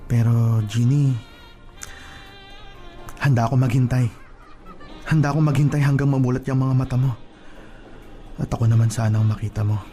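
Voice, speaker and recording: A man playing an earnest, lovesick plumber in an audio drama, pleading in a halting, sentimental way. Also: medium pitch, fairly even intonation, warm tone, faint music behind, natural voice. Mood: hurt, pleading. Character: lovesick, earnest plumber suitor, wounded yet patient. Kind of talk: radio-drama style acting.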